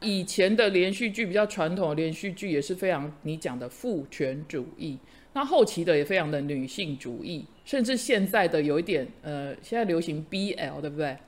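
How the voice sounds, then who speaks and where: A middle-aged woman hosting a relaxed conversation. She tells stories with lively, animated energy and breaks into laughter often. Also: lowish voice. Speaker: host, teacher. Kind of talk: casual chat.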